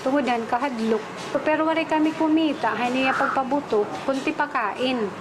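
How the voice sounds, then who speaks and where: Female witness, Waray accent, high voice, unscripted account, clearly noisy on-location recording. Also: moderate pace. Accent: Waray, Philippines. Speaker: interviewed witness. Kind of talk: spontaneous interview account. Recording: noisy on-location interview sound.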